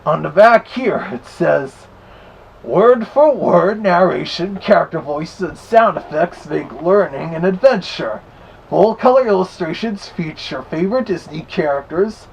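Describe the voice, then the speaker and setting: A man voicing the Big Bad Wolf as a chatty vlog host with a gravelly, husky voice, casually reviewing. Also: high voice, animated, a little slow, slight background noise, exaggerated voice, rough recording. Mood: chatty, easygoing.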